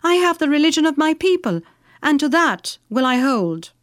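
A woman voicing a gentle, devout and dutiful servant girl in earnest, solemn, archaic storybook speech. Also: high voice, sing-song, normal speed, no echo, studio quality, voice pushed up. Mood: fervent resolve.

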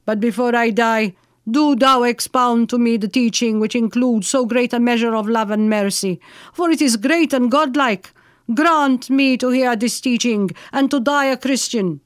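A woman's voice: fairly high pitch, drawn-out words, few pauses, no echo, studio quality. A woman voicing a despairing, repentant captive Pasha who is dying, solemn and pleading in archaic storybook speech. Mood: anguished pleading.

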